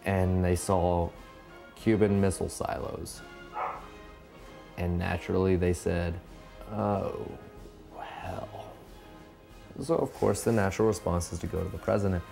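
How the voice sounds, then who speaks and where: Casting: drunk guy, low voice, rambling profane storyteller, faint music behind. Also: fairly even intonation, moderate pace, creaky, dark tone. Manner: rambling, profane, trails off and restarts. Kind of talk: rambling drunken retelling of history.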